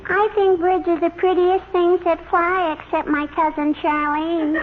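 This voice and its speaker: A woman's voice: extremely high pitch, flat delivery, a little slow, phone-quality sound. A woman playing an eager, pestering little girl in radio comedy, childishly repeating herself and tacking on insistent tags. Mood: eager, chatty. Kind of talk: old-time radio comedy sketch acting. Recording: noisy, thin old radio recording.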